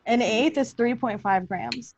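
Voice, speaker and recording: A woman's voice: a little high, measured pace, gravelly. A woman in a casual podcast chat, talking in a blunt, slangy way. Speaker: podcast cast member. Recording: slightly muffled, lo-fi audio.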